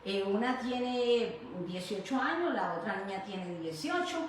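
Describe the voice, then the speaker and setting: A Colombian woman hosts a casual faith talk in an effusive, rambling style. Her mid-pitched voice sits back from the microphone in a very reverberant room, with faint noise. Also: lively intonation, unhurried. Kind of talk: casual conversational hosting. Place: echoey room in her home. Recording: very echoey room, distant mic, faint noise.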